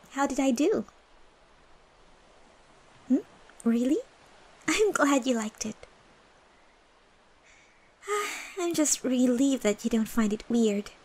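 A woman voicing a gentle, cheerful young female dancer in a soft, soothing ASMR comfort roleplay, breathy and encouraging. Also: high voice, heavily acted, poor audio. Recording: faint background music and ambience.

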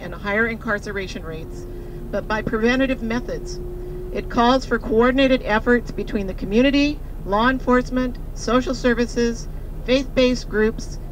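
A middle-aged woman reading a prepared speech into a handheld microphone as a community advocate aboard a moving tour bus, earnest and measured. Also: fairly high pitch. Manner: earnest, measured, slowing on key phrases. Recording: bus with road noise behind. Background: vehicle engine and road noise.

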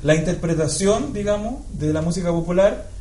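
A man's voice: high-pitched, normal speed, dark tone, slight background noise. A man, a guitarist guest explaining his views with examples and frequent tag questions in a TV studio interview. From Chile. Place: TV studio set. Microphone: lapel mic. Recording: roomy studio sound.

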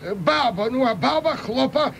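A man's voice: animated, moderate pace, creaky, fairly bright tone, full character voice. An actor playing a gullible, hard-of-hearing old man in a radio comedy, hesitant and stammering, with a Podlasie Polish accent. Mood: confused, hesitant. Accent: Podlasie (eastern Polish). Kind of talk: radio comedy serial acting. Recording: faint rumbling background noise.

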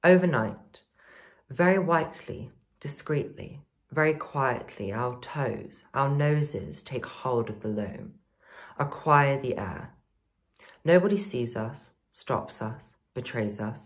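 A young man reciting poetry in a measured, deliberate way. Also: medium pitch. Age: teens to young adult. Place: plain indoor room.

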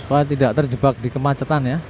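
A man giving casual, unhurried riding commentary as a motovlogger, with little asides to himself and his viewers. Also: mid-range voice, fairly even intonation, darkish tone. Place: outdoors, riding a motorbike through city streets. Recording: dull lo-fi audio with clear traffic noise. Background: vehicle engines and street traffic noise.

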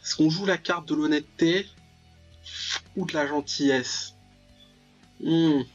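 A young man giving casual, jokey, mock-dramatic commentary while playing a visual novel, muttering asides and reading text aloud. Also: fairly high pitch. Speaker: gaming video host. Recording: dull lo-fi voice track over clear music.

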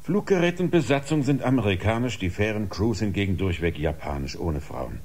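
An actor playing a brusque spaceship commander in a science-fiction radio play, husky, dry and dismissive. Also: male voice, fairly low pitch, lively intonation, brisk, darkish tone. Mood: sardonic.

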